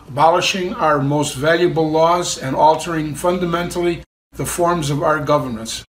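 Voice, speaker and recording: Male reader, formal document delivery, upper-mid pitch, some background noise. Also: fairly bright tone, poor audio. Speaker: volunteer reader. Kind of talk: formal read-aloud of a historical document.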